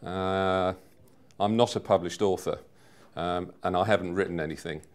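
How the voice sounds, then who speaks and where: A man in his fifties giving a personal testimonial in a measured, earnest way. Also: sits low, steady tone, clear, resonant tone. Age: 50s. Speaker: seminar attendee giving a testimonial.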